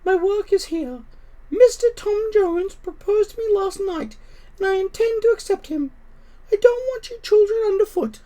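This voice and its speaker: A man voicing a prim, gentle but firm mother cat from a storybook, speaking with quiet resolve. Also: extremely high pitch, moderate pace, voice pushed up, low-quality recording. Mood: firm, tender.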